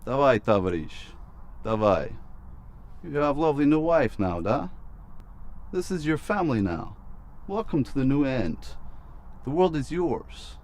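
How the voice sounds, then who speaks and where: A man's voice: medium pitch, sing-song, measured pace, pauses often, darkish tone, pitched above the performer's own voice. A man voicing a coaxing Russian comrade with a Russian accent. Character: Russian man welcoming a comrade to a new family. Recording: noisy ambience behind.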